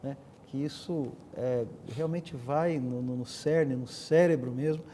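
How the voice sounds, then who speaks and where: A man's voice: mid-range voice, measured pace, mellow, a little hiss or hum. A middle-aged or older man with a Brazilian accent, telling stories conversationally as a panel guest. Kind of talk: conversational storytelling in a discussion.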